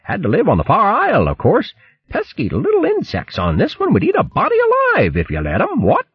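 Bo, a blustering jolly hare. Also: male voice, high voice, huge pitch swings, fairly quick, breathy edge, dry recording, voice pushed up, full character voice. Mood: blustering cheer. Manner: hearty, blustery, full of "what, what" bluster. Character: jolly blustering male hare warrior, boastful and kind. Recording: muffled lo-fi recording.

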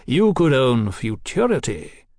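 A man's voice: medium pitch, sing-song, slow pace, a little mellow, dry recording, studio quality, exaggerated voice. A man voicing a zealous young revolutionary for an audiobook, haughty and grandiose, declaiming like an orator. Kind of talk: audiobook character acting.

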